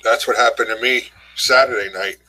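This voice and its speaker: A man's voice: medium pitch, fairly even intonation, fairly quick, pushed and bright, rough recording. A middle-aged man co-hosting a live stream over a video call, chatting casually and greeting viewers by name. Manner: easygoing, greets viewers in chat. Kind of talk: casual chat.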